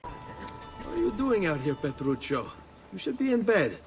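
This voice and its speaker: Game lead: hot-headed young Italian nobleman, higher-pitched, very lively, Italian accent. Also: measured pace, gravelly, faint music behind, heavily acted. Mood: gentle, courteous. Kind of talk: video game cutscene acting.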